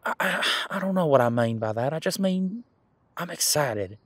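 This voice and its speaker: A man playing an earnest, teasing werewolf boyfriend in an intimate roleplay audio drama, speaking casually and haltingly with a husky voice. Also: fairly high pitch, expressive, no echo. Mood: excited, sheepish. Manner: casual, halting, conversational with trailing pauses. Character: male werewolf boyfriend, earnest, hopeful, teasing former bodyguard.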